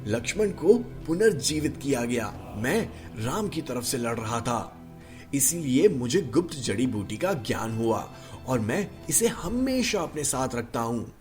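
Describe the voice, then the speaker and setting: A man voicing a kindly immortal traveller who explains the past in an animated myth tale. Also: mid-range voice, a little slow, soft background music. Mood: calm explaining. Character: kindly immortal traveller recounting ancient war. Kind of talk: animated mythological storybook dialogue.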